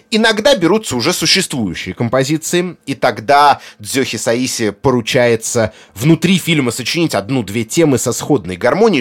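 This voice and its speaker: A man hosting a podcast, reading a scripted essay in an articulate, emphatic way. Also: medium pitch. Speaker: podcast host.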